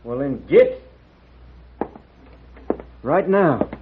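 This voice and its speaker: An actor playing a jealous, blustering young gunslinger with a husky voice in a 1950s radio western. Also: male voice, on the high side, expressive, extremely slow, background noise. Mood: threatening. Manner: blustering, challenging. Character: hot-headed, jealous young male gunslinger. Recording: tinny, band-limited old radio recording.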